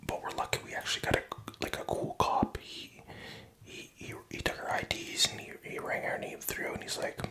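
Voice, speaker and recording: A man's voice: normal speed, bright, forceful tone. A young man whispering a rambling personal story, profane and self-mocking.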